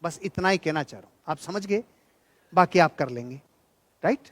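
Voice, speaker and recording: A man's voice: a little high, animated, moderate pace, no echo. A man speaking as a motivational trainer, emphatic and colloquial, repeating key phrases and telling stories in a joking way. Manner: jocular, colloquial storytelling. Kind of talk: motivational seminar speech with anecdotes and humour.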